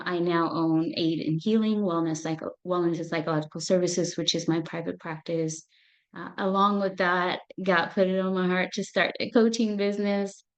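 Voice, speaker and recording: A woman psychologist speaks to a gathered audience in an earnest, personal way. Her voice sits somewhat low, and her talk is full of little fillers and restarts as she shares her story. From Ethiopia. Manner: earnest, warm-hearted, with frequent fillers and restarts. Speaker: clinical psychologist and professor.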